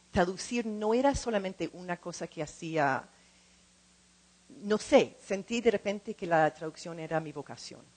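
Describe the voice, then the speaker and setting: A middle-aged woman speaking in a second language as she gives an informal talk, halting and repeating words as she searches for the next one. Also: mid-range voice, rough recording. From lives in California. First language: not Spanish, speaks it as a second language. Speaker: translator and program co-director.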